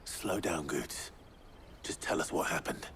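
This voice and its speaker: An actor playing a calm, steady adventurer hero in a video game, low-key and reassuring. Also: male voice, measured pace, a little hiss or hum, exaggerated voice.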